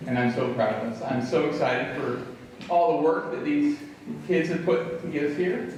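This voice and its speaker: A man speaking as a school dean, giving informal welcome announcements in measured short phrases with pauses. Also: a little high, a little mellow. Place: school performance space with live audience. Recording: very echoey, noisy room, distant microphone.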